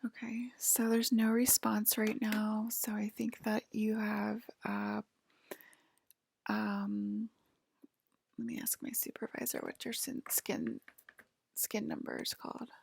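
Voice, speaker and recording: A woman acting as a female alien abductor in an ASMR roleplay, whispering slowly and hesitantly. The voice is breathy and heavily crackled with fry. It keeps a soft, clinical, gently official manner throughout. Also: medium pitch, completely flat delivery, full character voice. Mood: calm, curious.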